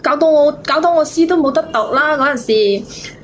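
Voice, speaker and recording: A woman with a Cantonese accent telling her story to a host as a caller, in a halting, repetitive, plaintive way. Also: sits high, a little hiss or hum. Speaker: phone-in caller. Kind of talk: casual personal storytelling to a host.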